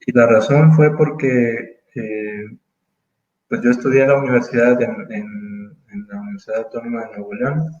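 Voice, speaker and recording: A man in his thirties from Mexico, speaking as an interview guest in casual conversation, thoughtful and full of pauses and restarts. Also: medium pitch, fairly even intonation, darkish tone, echoey room, muffled recording. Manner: thoughtful, hesitant, many fillers and restarts.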